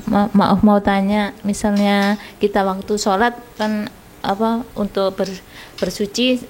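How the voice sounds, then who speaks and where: A woman putting questions to a religious teacher in a polite, halting, informal way. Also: medium pitch, flat delivery, darkish tone, slight background noise. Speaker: audience member asking questions. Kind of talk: casual spoken question.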